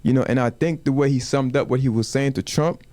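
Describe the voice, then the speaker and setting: A man whose first language is Wolof talks through his point in a measured way. He keeps asking rhetorical questions and checking in with the others. The sound is dry and close, with little room echo. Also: mid-range voice, mellow. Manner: measured, asks rhetorical questions, says "you know what I'm saying". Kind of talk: casual panel discussion. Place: community media studio.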